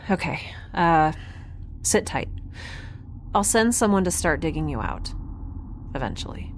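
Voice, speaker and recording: A woman's voice: sits low, slow, long pauses, slight background noise. An actress playing a quirky, stubborn young woman scientist in a spaceship audio drama, rambling and earnest. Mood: weary, threatening. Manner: rambling, babbling, animated, earnest.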